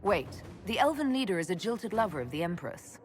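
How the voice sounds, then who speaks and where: An actress playing an earnest, decisive young elf leader in a video game, speaking in a direct way. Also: female voice, mid-range voice, big pitch swings, fairly quick, faint music behind, lightly acted. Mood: inquisitive, decisive. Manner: direct, inquisitive. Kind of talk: video game cutscene acting.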